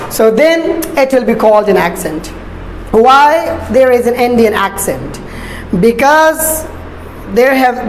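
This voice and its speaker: Slow-explaining woman teacher. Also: on the high side, a little mellow, slight background noise. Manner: slow, deliberate, repeats points with fillers. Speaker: ESL instructor. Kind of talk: classroom-style explanation. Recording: echoey room.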